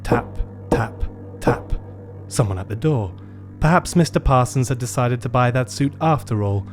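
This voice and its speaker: An actor playing a lonely, gloomy shop owner who narrates his tale in a measured, melancholy, theatrical way for a radio play. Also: mid-range voice, lightly acted. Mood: weary, dreary. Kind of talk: scripted first-person radio play narration. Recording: music bed behind the voice.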